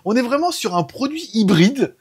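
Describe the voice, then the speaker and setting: Husky-voiced Frenchman chatting casually. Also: very high voice, very animated, normal speed. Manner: informal, rambling, conversational. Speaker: host of a tech news show. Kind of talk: casual tech chat.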